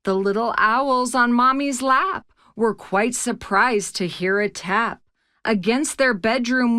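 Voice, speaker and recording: Slow-paced, higher-voiced woman reading aloud. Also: a bit bright, clear, resonant tone, no echo, clean studio sound. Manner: measured, storytelling delivery. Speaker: children's read-aloud host. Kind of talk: expressive picture-book narration in rhyme.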